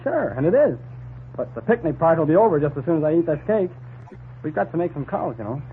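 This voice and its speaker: Fast-talking 1940s radio newspaperman. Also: fairly high pitch, big pitch swings. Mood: breezy. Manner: breezy, bantering. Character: brisk, wisecracking male newspaper reporter. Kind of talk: 1940s radio drama acting. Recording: tinny, noisy 1940s radio recording.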